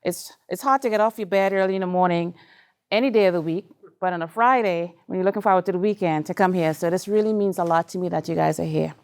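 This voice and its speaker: A woman's voice: lowish voice, quick pace. Middle-aged woman, Trinidadian accent, speaker at a live event; open, talkative delivery. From Trinidad and Tobago. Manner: chatty, candid, laughs along with the audience. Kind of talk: personal story talk to a live audience.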